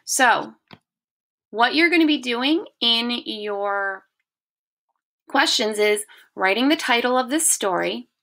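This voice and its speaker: A woman in her thirties, a primary school teacher reading to young children and explaining their work in simple, deliberate phrases. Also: on the high side, expressive, fairly bright tone. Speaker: second-grade teacher. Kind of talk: reading a children's story aloud and explaining the questions to pupils.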